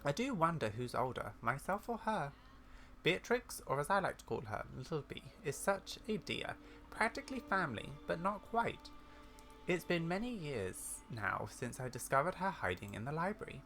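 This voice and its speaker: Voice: medium pitch, big pitch swings, moderate pace, fairly bright tone, soft background music. A gamer voicing a kindly, scholarly older witch woman from a video game, in a genteel, musing manner. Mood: fond, amused. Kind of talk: video game dialogue read aloud.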